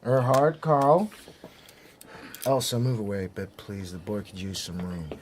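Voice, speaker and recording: A man's voice: lowish voice, expressive, unhurried, a little mellow. Polite, dryly ironic male negotiator. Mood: wry calm. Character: smooth, wry negotiator, polite and calculating. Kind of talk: radio drama acting. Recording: faintly noisy radio drama recording.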